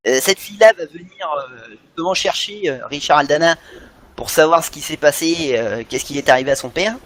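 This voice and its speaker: A man's voice: a little high, brisk, a bit bright. A middle-aged man chatting casually and enthusiastically as a podcast co-host, often searching for his words. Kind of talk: casual animation fan chat.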